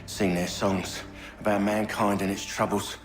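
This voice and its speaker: Game villain, male: an actor as a cynical hired thug, low-pitched, slow, taunting and rough-spoken. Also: level tone, faint music behind, natural voice. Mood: bitter, indifferent. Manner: taunting, rough colloquial speech. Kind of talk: dramatic video game acting.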